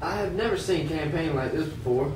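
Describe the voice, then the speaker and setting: Man as sceptical working man, amateur puppet show, high-pitched, echoey, far from mic. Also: fairly quick, a little mellow, close to an everyday voice. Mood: disgruntled. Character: disgruntled 19th-century American working man, sceptical of politics. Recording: echoey room, distant mic, faint noise.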